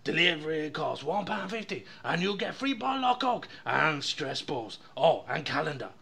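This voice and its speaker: A man's voice: on the high side, big pitch swings, moderate pace, vocal fry, pushed and bright, heavily acted. A man voicing a pushy male engine supplier rep in a mock Japanese accent, in broken English, in a comedy podcast skit. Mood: salesy. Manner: broken English, hard-selling, swears.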